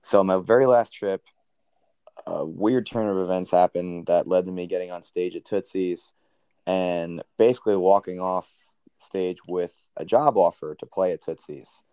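A young man with a very low, smooth baritone voice tells a story in an easygoing interview. He rambles a little, leaning on ums and you-knows. The sound is close and dry. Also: normal speed, dry recording. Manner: relaxed, rambling with many fillers. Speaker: country singer, guest.

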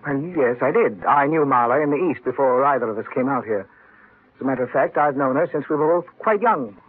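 A man's voice: medium pitch, expressive, fairly quick, slightly rough. An actor playing a formal, guarded male doctor in old-time radio drama. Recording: muffled vintage radio recording.

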